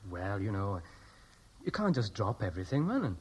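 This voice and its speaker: A dutiful young Welshman soon to marry, in a vintage radio play. He sounds boyish, chatty and a bit hesitant. His husky voice moves up and down freely with a Welsh accent. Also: fairly low pitch, sing-song, normal speed, darkish tone. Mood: hesitant, wistful. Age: young adult. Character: eager, dutiful, unworldly young Welsh groom, aged twenty-two. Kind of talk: 1960s radio drama acting.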